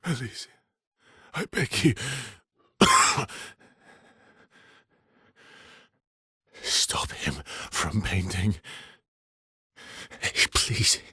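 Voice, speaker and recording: An actor playing a weary, gentle, guilt-ridden expedition guide in a dramatic video game, with a husky, breathy, hushed and halting delivery. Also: male voice, medium pitch, sing-song, no room sound, studio quality, exaggerated voice. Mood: desperate pleading. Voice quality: husky, breathy, often near a whisper.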